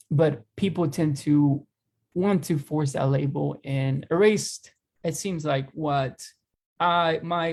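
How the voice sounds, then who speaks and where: A young man co-hosting a podcast discussion, speaking haltingly and searching for words, with many fillers. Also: a little high, gravelly, a little mellow, slightly rough. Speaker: podcast co-host and teacher. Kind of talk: casual discussion.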